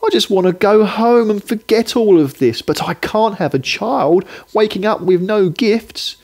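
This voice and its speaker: A man's voice: high-pitched, lively intonation, unhurried, darkish tone, pitched above the performer's own voice, heavily acted. A man reading the part of a weary, complaining postman in a children's storybook, plaintive and emphatic. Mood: weary resolve.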